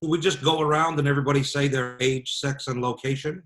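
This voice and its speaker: A man playing a sleazy 46-year-old chat-room regular in an improv sketch, with slow suggestive remarks. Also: mid-range voice, fairly even intonation, fairly bright tone, lightly acted. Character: 46-year-old creepy male chat-room guy Herb.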